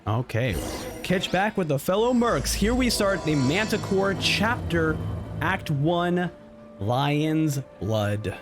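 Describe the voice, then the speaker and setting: A man streaming a game live with casual, halting commentary, often repeating himself as he talks to his chat. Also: a little high, big pitch swings. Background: music.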